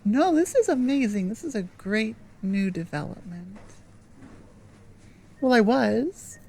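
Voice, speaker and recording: Slow, hesitant goblin girl played by a woman. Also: fairly high pitch, lively intonation, creaky, a little mellow, slight background noise, full character voice. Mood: relieved, giddy. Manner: hesitant, rambling, earnest, halting. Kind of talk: ASMR roleplay audio drama.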